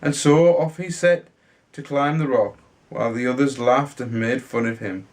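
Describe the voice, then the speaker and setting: A man reading a children's fairy tale aloud in a halting way, sometimes stumbling and repeating words. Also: mid-range voice, a little mellow. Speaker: storybook reader.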